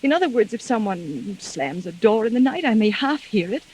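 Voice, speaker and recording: A woman playing a frightened, suspicious wife in a 1940s radio drama, with an anxious, halting, insistent delivery. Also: a little high, big pitch swings, darkish tone, slight background noise. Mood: anxious confiding. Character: frightened, suspicious wife haunted by fears of murder. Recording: vintage 1940s radio recording.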